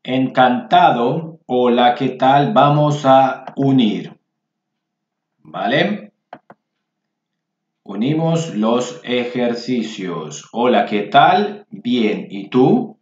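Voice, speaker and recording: A man's voice: mid-range voice, animated, extremely slow, a little mellow. A man teaching Spanish, reading workbook exercises aloud and patiently repeating phrases for learners. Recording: roomy, dull lo-fi sound.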